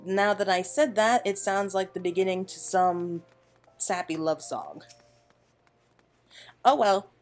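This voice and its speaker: A woman hosting a casual game playthrough in an excitable, gushing manner. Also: medium pitch, slow, pauses often. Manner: excitable, gushing, reads game dialogue aloud. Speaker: gaming channel host. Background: game music.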